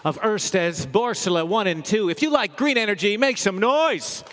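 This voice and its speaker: A man hosting a live event as a comedian, speaking in an upbeat, energetic, joking way with playful mock boasts. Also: sits high, normal speed. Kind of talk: humorous live stage presentation. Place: large indoor event hall, live audience. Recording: echoey big hall, long reverb.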